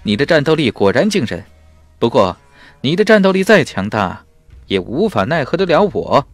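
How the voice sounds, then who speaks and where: A man's voice: mid-range voice, big pitch swings, normal speed, dry recording, soft background music, pitched above the performer's own voice. A man voicing a bold, cocky young martial hero in an audiobook, confident and taunting.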